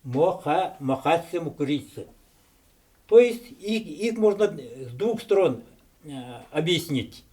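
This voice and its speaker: A middle-aged man giving a university history lecture, halting and correcting himself often, stressing key terms. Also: on the high side, animated, darkish tone. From Yakutia. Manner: halting, self-correcting with frequent filler sounds.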